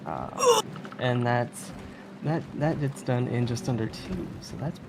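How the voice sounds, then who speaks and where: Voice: medium pitch, expressive, slow, vocal fry. A speedrunner giving casual, rambling commentary on a live stream, often chuckling. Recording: noisy live stream audio.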